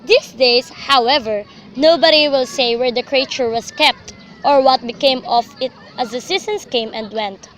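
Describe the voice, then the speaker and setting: A woman's voice: sits high, moderate pace, a bit bright. A young woman reading scripted, dramatic story narration for a student radio drama. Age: young adult. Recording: faintly noisy amateur recording.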